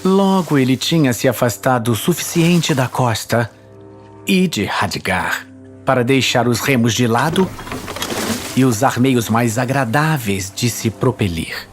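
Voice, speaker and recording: A man's voice: medium pitch, lively intonation, measured pace, over music. A man with a Brazilian accent reading dramatic scripted fantasy narration as the storyteller.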